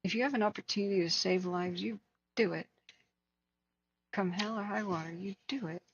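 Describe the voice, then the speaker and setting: Woman livestream host, husky and hoarse, earnest chat, slightly muffled lo-fi audio. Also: medium pitch, normal speed. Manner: earnest, talks to chat viewers by name. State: hoarse, losing her voice. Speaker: wildfire tracking livestream host.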